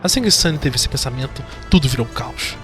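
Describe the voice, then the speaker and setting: A man's voice: medium pitch, lively intonation, fast. A man with a Brazilian accent giving dramatic scripted narration for a horror fantasy audio drama. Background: music.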